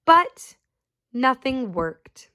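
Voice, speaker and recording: A woman reading a children's picture book aloud, pausing to ask her young listeners questions. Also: high voice. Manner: slow and expressive, asks listeners questions. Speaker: storyteller.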